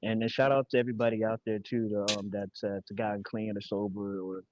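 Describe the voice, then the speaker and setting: A man's voice: lowish voice, level tone, a little fast, darkish tone, muffled recording, slight background noise. A man in his forties from Connecticut, a podcast guest talking candidly in casual, profane slang. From Connecticut, USA. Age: 40s.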